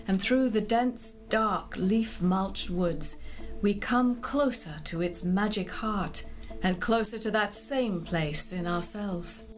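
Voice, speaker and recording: A woman narrator telling a scripted story in a measured, poetic storytelling manner. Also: medium pitch.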